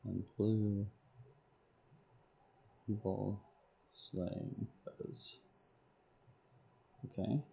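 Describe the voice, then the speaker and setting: Male game streamer: very slow, halting self-talk, heavy vocal fry. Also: fairly low pitch, level tone, warm tone, muffled recording, a little hiss or hum. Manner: halting, terse, talking to himself. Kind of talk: muttering item names while making a list.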